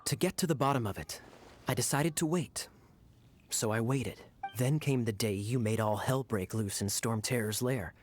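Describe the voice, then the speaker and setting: An actor voicing a smooth, flirtatious male cavalry captain who speaks in a suave, teasing, unhurried way. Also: medium pitch, faint music behind, close to an everyday voice. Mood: sly.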